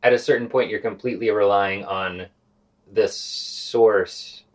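Casting: man, very low voice, reflective panelist in an informal podcast chat, phrasing things tentatively. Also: steady tone, measured pace. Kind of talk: casual discussion.

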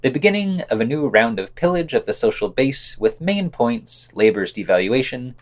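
Male podcast news reader, mid-range pitch, animated melody, scripted delivery with casual commentary. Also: normal speed. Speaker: podcast host reading news.

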